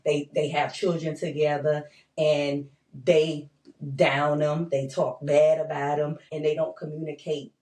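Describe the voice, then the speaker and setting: A woman's voice: deep voice, flat delivery, a little slow, a little mellow. A woman hosting an interview, chatty and affirming, often tagging her remarks with "right?" and speaking in casual grammar. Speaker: interview host. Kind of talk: conversational interview. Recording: roomy sound, mic at a distance.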